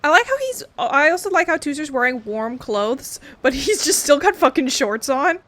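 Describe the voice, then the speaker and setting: A woman's voice: high-pitched, sing-song, normal speed, a bit bright. A woman doing a casual let's play commentary as a game streamer, rambling and slangy with casual swearing. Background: faint game music.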